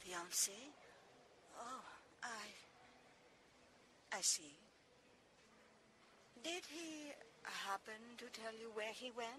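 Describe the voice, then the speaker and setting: A woman playing a poised, imperious lady with a measured, formal delivery in a gothic audio drama. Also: on the high side, expressive, noisy background. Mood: urgent, taken aback.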